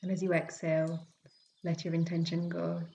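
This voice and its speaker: A woman teaching yoga nidra, guiding a relaxation meditation in a gentle, soothing, unhurried way with long pauses. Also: fairly low pitch, gravelly. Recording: birdsong and forest sounds behind voice. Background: calming forest nature sounds with birds.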